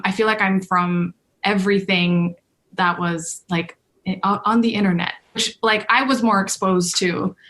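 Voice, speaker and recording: A woman's voice: mid-range voice, fairly bright tone. A young woman from Indonesia whose first language is Indonesian, a guest artist answering interview questions casually with many restarts and fillers.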